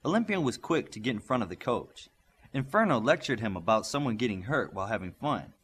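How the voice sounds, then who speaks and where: Expressive male audiobook narrator, slightly husky. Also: mid-range voice, fairly quick. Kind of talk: scripted story narration with character voices.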